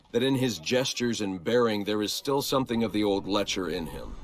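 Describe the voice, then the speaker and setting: Actor as a low-voiced, smug corporate researcher. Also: male voice, lightly acted. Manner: measured, prim, condescending. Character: smug, disapproving male corporate researcher presenting a report. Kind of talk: scripted narration.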